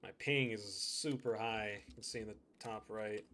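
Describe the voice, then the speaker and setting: A man's voice: lowish voice, steady tone, moderate pace, pushed and bright. A man streaming a game, chatting casually and thinking aloud as he plays. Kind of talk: live gameplay commentary.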